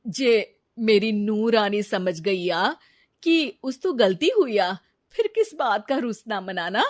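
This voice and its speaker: A woman voicing a caring mother-in-law in a cartoon family story, with a measured, dramatic manner. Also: mid-range voice, animated, no room sound, studio quality. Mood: forgiving. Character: caring, plain-spoken Punjabi mother-in-law. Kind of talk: cartoon moral story dialogue.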